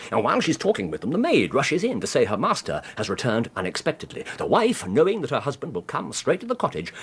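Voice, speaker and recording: An actor playing a brilliant, excitable English gentleman detective in a radio drama, self-assured and theatrical, drawing out phrases with murmured hums. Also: fairly low pitch, breathy edge, full character voice.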